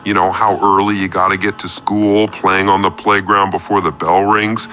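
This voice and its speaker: A man's voice: low voice, level tone, moderate pace, bright, forceful tone. An actor playing a needy, self-pitying man calling a radio show, with a confessional, theatrical and wordy storytelling manner. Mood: wistful confession. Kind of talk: scripted comedic radio play monologue.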